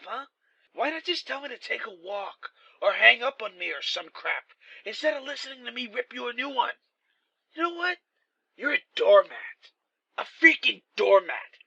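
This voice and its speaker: Male actor as hostile radio caller: very high, piercing, creaky voice, mocking working-man grouch in a spooky radio drama. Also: sharp tone, heavily acted, low-quality recording. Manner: abrasive, mocking, slangy. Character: belligerent, fed-up working man heckling a radio show.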